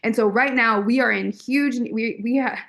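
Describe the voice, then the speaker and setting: A woman coach co-hosting a live online community call over video, speaking in an upbeat, effusive way and warmly greeting attendees by name. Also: a little high, moderate pace, vocal fry. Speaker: coach and co-host.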